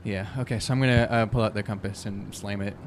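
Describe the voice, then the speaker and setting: A man playing a tabletop game, improvising in a casual, halting way as he searches for words. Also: fairly low pitch, fairly even intonation, a little hiss or hum. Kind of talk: casual improvised role-play chat.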